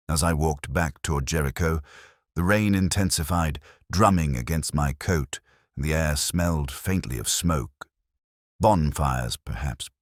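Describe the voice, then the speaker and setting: A man plays a brooding, literary male detective telling his own mystery as radio drama. The voice sits extremely low yet moves with plenty of melodic variation. Delivery is measured and wry, recorded very dry and close. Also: dry recording, full character voice. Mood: wistful, reflective. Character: melancholy, literary male English police detective narrator. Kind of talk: scripted first-person mystery narration in radio drama manner.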